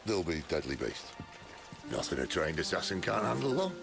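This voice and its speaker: An actor playing a wry, roguish middle-aged outlaw leader in a video game, with a dry, teasing, laid-back manner. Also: male voice, big pitch swings, a little fast, over music, heavily acted. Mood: dry banter. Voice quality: deep, gravelly. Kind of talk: video game character dialogue.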